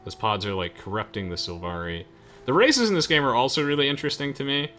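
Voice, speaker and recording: A man's voice: medium pitch, expressive, fast, fairly bright tone, a little hiss or hum. A young man hosting a let's play, chatting casually with friends as he comments on the game. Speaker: host of a let's play video. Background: game music.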